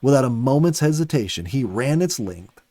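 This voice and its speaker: Animated male storyteller, very lively pitch. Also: normal speed, no echo. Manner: dramatic, animated delivery with emphatic swells and hushed endings. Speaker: story reader, host of a children's story program. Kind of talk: expressive scripted storytelling, audiobook narration. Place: dry, close studio recording.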